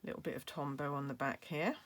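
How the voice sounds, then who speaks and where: Low-voiced brit lady doing a craft tutorial, chatty, voice a bit hoarse, faint hiss behind. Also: fairly even intonation, quick pace. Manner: chatty, explains step by step with fillers. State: losing her voice, coughing. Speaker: craft demonstrator.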